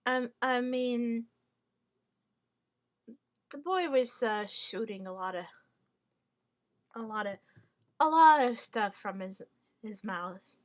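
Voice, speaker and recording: Wry, hesitant woman, slow halting delivery. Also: on the high side, animated. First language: Hungarian. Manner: hesitant, many fillers and restarts; wry, mock-formal wording. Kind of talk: rambling monologue.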